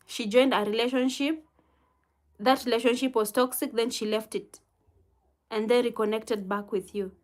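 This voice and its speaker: A woman with a Kenyan accent hosting a casual livestream, reading viewer comments and giving gentle, unhurried advice with soft laughs. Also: medium pitch.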